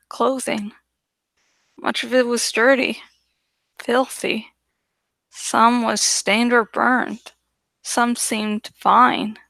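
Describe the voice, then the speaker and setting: Female audiobook narrator: higher-pitched, gravelly edges, deliberate dramatic delivery of first-person fiction. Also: a bit bright, dry recording. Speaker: audiobook reader.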